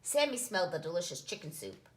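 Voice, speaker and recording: A woman reading a children's storybook aloud in an expressive, storytelling style. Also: on the low side, fast, roomy sound. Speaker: story reader, nonprofit executive director.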